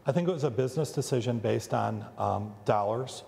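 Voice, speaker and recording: A man from the Chicago area, a factory owner, talking deliberately in an interview with frequent ums and uhs. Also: medium pitch, creaky, dark tone, in a large hall, slight background noise. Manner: deliberate, with many fillers. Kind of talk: interview soundbite.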